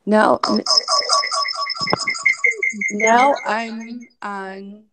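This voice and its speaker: A woman's voice: mid-range voice, steady tone, very slow, a loud crowd. A woman co-hosting a casual live stream chat, easygoing while she sorts out her devices.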